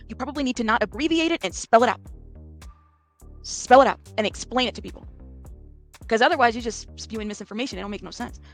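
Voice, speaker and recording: An American woman hosts an informal commentary, talking quickly in a slightly high voice. She is blunt and emphatic, leaning on rhetorical questions and frequent check-ins as she explains. Faint music sits behind her. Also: a bit bright. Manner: emphatic, blunt, with rhetorical questions and tag words like okay and right. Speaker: commentary channel host.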